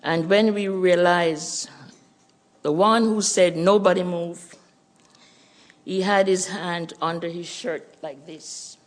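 A woman's voice: lowish voice, fairly even intonation, slow pace. An older Jamaican woman with a Jamaican accent, a missionary giving an earnest church missions report and testimony.

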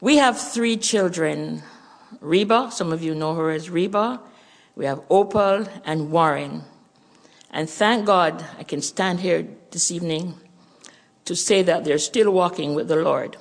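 A woman's voice: on the low side, slow, gravelly. An older Jamaican woman with a Jamaican accent, a missionary giving an earnest church missions report and testimony.

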